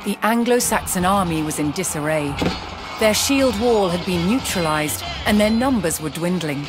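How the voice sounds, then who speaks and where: Dramatic history narrator over loud music. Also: mid-range voice, lively intonation. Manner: measured, dramatic storytelling. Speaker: documentary narrator. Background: loud background music.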